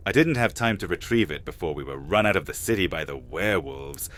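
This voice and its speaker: A man's voice: sits low, lively intonation, a little fast, fairly bright tone, slight background noise. A man voicing a scheming male vampire alchemist in a fantasy audio drama, speaking in a theatrical way.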